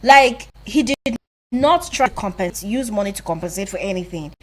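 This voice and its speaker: A Nigerian woman co-hosting a casual radio chat, calmly making her points about a film at length. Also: medium pitch, moderate pace. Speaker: radio co-host. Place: radio studio.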